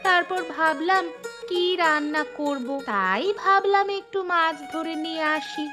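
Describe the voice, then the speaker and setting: A woman's voice: very high-pitched, slow pace. A woman voicing a chatty young village housewife and mother in a cartoon. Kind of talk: Bengali village cartoon dubbing. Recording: music behind the voice.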